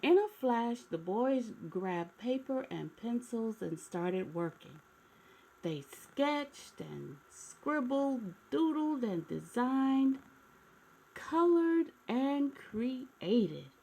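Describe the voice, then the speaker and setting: A woman hosting story time, reading a picture book expressively, with dramatic pauses and asides to the children. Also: on the high side, creaky, rough recording. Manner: animated, asks listeners questions, dramatic pauses.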